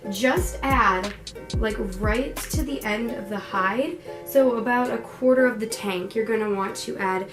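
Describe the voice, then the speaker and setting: A woman's voice: on the high side, a little slow. Chatty young woman, sick and tired. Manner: chatty, rambling, many likes and ums. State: sick, a little hoarse and tired. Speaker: pet care vlogger. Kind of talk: casual how-to vlog. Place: bedroom at home. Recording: background music throughout. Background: background music.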